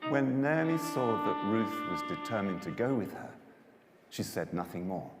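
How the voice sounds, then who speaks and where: A man narrating scripture as a dramatised story, with a measured and expressive delivery. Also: on the low side. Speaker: narrator, Bible reader. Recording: echoey hall, long reverb, music.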